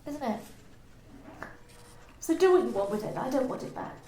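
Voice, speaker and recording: An actress playing a grieving young mother and office worker, speaking quietly and haltingly, then breaking into anguished outbursts. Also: high voice, lively intonation, reverberant, noisy background. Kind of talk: amateur radio play reading.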